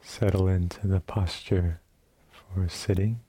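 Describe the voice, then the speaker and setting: Slow, husky, mellow man guiding meditation. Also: low voice, steady tone. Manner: gentle, sparse phrases with long pauses. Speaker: meditation teacher. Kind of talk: guided meditation. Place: meditation center hall.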